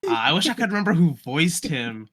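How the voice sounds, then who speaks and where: A man's voice: on the high side, very animated, fairly quick, a bit bright. A young man chatting casually as a podcast guest, with a slangy, enthusiastic way of talking. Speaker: podcast guest, amateur voice actor. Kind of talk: casual chat.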